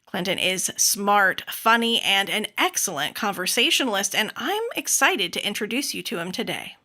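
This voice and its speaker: A woman from the United States hosting a podcast, with an upbeat, animated way of talking. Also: medium pitch, moderate pace. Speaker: podcast host. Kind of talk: scripted introduction and chatty interview.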